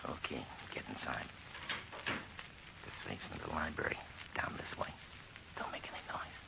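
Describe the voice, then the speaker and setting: A man's voice: extremely low pitch, expressive, unhurried, creaky, full character voice. An actor playing a brash, callous burglar who bullies and wheedles in slangy tough-guy talk in an old radio horror drama. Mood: hushed, impatient. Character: brash, callous male burglar, pushy and scornful. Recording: muffled, noisy old radio recording.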